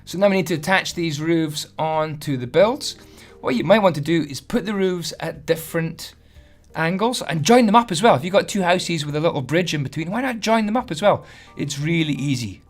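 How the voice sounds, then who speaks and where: A hoarse man, chatty and self-deprecating as he gives a casual building tutorial voice-over. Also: a little high, lively intonation, moderate pace, faint music behind. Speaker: Minecraft building tutorial host.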